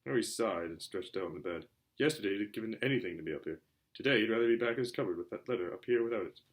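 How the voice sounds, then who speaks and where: A man narrating a children's novel aloud in an animated way, occasionally stumbling over words. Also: lowish voice, very fast. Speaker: reader, show host. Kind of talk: amateur audiobook-style story narration.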